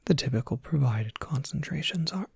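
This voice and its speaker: An ASMR performer playing a courteous, soft-spoken university professor, whispering in formal, measured phrases with a breathy, husky voice. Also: mid-range voice, animated, no room sound, heavily acted. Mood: explanatory, gentle. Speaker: professor overseeing a college major.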